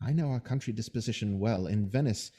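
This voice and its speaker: An actor playing a scheming soldier who feigns honesty, in measured, insinuating Shakespearean verse for an audio drama. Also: male voice, lowish voice, warm tone, breathy edge. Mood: falsely loyal.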